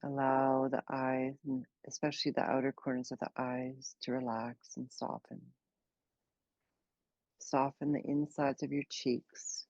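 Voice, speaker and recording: A woman leading a guided meditation, speaking slowly and gently in an unhurried way with long pauses. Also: extremely low pitch, level tone, dry recording, highs cut off. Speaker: meditation teacher.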